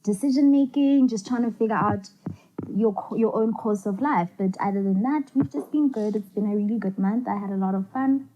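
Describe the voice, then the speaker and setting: A young woman co-hosting a casual podcast, speaking reflectively and pausing to search for her words. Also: medium pitch, dark tone, rough recording. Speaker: co-host.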